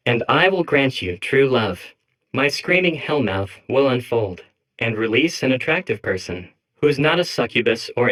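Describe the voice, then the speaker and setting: Insistent, stilted sinister machine, medium-pitched. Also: male voice, a bit bright, low-quality recording. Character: suspicious demonic machine core insisting it is harmless. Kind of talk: comedic video game character acting.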